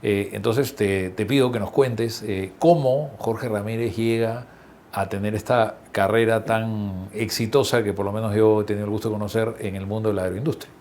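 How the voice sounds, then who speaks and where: A man hosts a business talk show, greeting his guest politely and backing him up with short acknowledgments. His voice sits low. A faint background noise runs under the recording. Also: fairly even intonation, normal speed, a little mellow. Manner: polite, frequent brief acknowledgments. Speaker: interview host. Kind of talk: hosting an interview.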